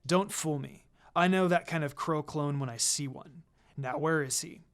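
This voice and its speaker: A narrator as a curt, brooding young ninja man, low. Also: moderate pace, lightly acted. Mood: demanding. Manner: terse, stern, demanding.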